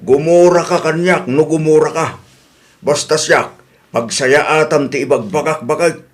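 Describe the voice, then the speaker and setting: A man acting an earnest husband, slightly high voice. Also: fairly even intonation, normal speed, natural voice. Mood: reproachful. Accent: Ilocano. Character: reasonable, concerned husband who gently scolds his wife. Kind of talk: Ilocano radio drama acting.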